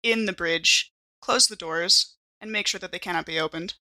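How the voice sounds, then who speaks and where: A woman voicing a calm, formal android crew member who speaks in a precise, measured monotone. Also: fairly low pitch, no room sound, low-quality recording. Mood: calm, commanding.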